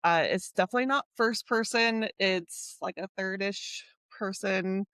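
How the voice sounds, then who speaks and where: A woman's voice: medium pitch, a little slow, fairly bright tone, clean tone, dry recording, clean studio sound. Rambling, giggly woman podcast co-host. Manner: rambling, laughing, lots of fillers like "like" and "um". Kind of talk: casual podcast chat.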